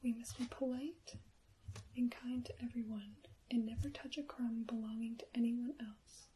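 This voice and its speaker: A woman's voice: on the high side, steady tone, moderate pace, a little hiss or hum, lightly acted, low-quality recording. Whispering wise little girl, read by a woman. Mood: earnest caution. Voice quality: soft whisper. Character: wise, polite little peasant girl, cautious and kind.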